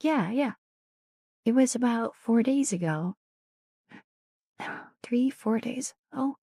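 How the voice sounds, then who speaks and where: Soft raspy woman, slow and mellow. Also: expressive, no echo, studio quality. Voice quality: raspy, deeper than usual. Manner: soft-spoken, often drops into whispers; chatty, greets and thanks viewers. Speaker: streamer. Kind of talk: casual ASMR live-stream chat.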